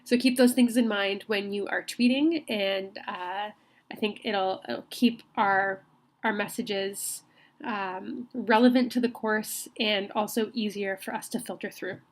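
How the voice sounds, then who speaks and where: A woman's voice: medium pitch, steady tone, a little slow. A woman instructor giving a recorded online college lecture while she has a cold, speaking in a friendly, conversational way with frequent ums and restarts.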